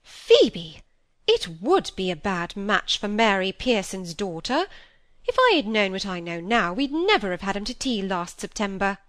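Female reader as bossy older spinster, sententious, pettish, lively pitch, dry close sound, storybook gossip. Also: normal speed, no room sound. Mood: disapproving. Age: middle-aged or older.

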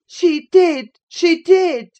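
A woman's voice: a little high, takes it slowly, darkish tone, lo-fi sound, voice pushed up. A woman voicing a sickly, spoiled boy in an audiobook, petulant, needy and spiteful. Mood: spiteful, taunting. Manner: petulant, wheedling. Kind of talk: dramatised audiobook reading of a classic novel.

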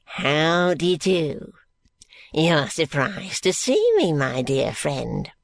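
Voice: mid-range voice, sing-song, vocal fry, no echo, clean studio sound, exaggerated voice. Grandfather Smallweed, wheezy fawning old man. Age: over 80. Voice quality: wheezy, panting, slow. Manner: fawning, ingratiating.

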